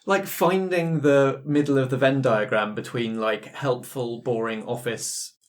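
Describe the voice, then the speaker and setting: A man's voice: mid-range voice, steady tone, measured pace. A man who plays tabletop games, giving his thoughts informally in a post-game chat.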